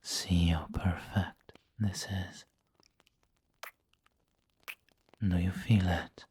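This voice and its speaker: A man playing a doting, refined vampire lord in an ASMR roleplay, speaking intimately in a breathy whisper with formal wording. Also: sits low, little pitch movement, slow, darkish tone, slight background noise. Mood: seductive, tender.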